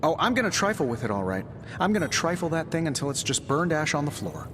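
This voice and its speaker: An actor voicing a cocky, sarcastic scientist superhero in a video game, with a glib, wisecracking way of talking. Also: male voice, fairly high pitch, big pitch swings, fast talker, long reverb tail, noisy background. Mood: cocky, confident.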